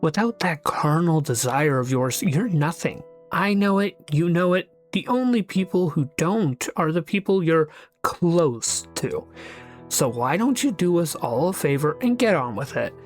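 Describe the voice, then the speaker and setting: A performer voicing a scornful, bitter figure who speaks with theatrical contempt and drawn-out emphasis. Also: mid-range voice, lively intonation, soft background music, pitched above the performer's own voice, natural voice.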